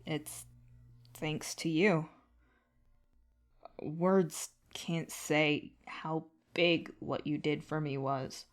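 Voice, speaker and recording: A weary freed alien man played by a woman speaks very slowly in a low voice. He is earnest and halting, dropping into short, broken phrases as in an intimate audio drama. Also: fairly even intonation. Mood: emotional, grateful. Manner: halting, clipped fragmentary sentences. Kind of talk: scripted audio fiction dialogue.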